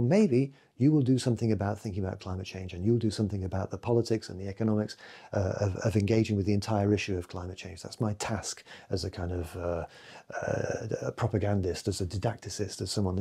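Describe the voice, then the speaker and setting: Low gravelly man in his late fifties. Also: fast. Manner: reflective, hesitant, many fillers, trailing off softly. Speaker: photographer giving a recorded festival talk. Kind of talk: recorded talk to camera. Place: home room with bookshelves, indoors.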